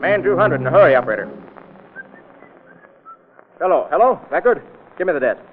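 A slangy reporter from an old radio crime drama. His voice is high for a man, clipped and urgent as he phones in a scoop. The recording is tinny and narrow, like a vintage broadcast. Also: big pitch swings, a little fast, soft background music. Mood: eager, hurried. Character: brisk, slangy newsman phoning in a hot scoop. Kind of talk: 1940s-50s radio drama acting.

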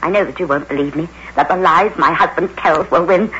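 An actress playing a fearful, shaken wife of a villain, grave and dramatic in an old radio drama. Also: female voice, low voice, a little fast, pushed and bright. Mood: grave, anxious. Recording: muffled, faintly noisy old radio recording.

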